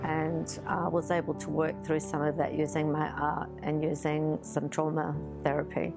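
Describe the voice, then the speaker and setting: A woman in her sixties, born in Greece, talking slowly and reflectively about her childhood, pausing often, seated in her art studio. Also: sits low, completely flat delivery, creaky, music in the background. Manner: thoughtful, hesitant, many pauses and fillers. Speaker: artist interviewee. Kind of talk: reflective personal storytelling.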